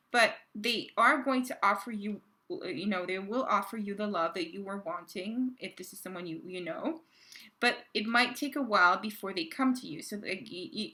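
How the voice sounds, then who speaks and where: A woman reading tarot cards to her viewers in a casual, halting way, full of fillers and restarts, her voice a little raspy from a cold. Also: medium pitch, pushed and bright. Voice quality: gravelly. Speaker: tarot card reader.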